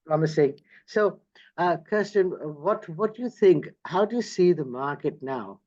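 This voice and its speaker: Effusive host guy, somewhat high voice, muffled lo-fi call sound. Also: expressive, measured pace, a little mellow. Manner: enthusiastic, effusive. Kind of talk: online interview conversation. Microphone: video call.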